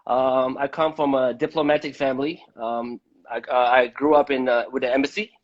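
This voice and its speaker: A man from Malaysia hosting a conversational live-stream interview, speaking haltingly and often repeating words. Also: mid-range voice, level tone. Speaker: host of a live debate. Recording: dull, lo-fi live-stream audio.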